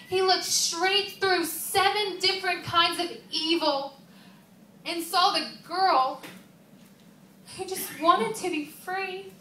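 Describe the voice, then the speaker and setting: Woman acting out a grieving devoted female disciple, high bright voice, emotional stage monologue, confiding like she's telling you personally. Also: exaggerated voice. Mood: joyful, fervent. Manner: earnest, emotional, confiding, with pauses and rhetorical questions. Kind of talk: dramatic stage monologue, conversational storytelling. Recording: roomy, distant mic, faint background noise.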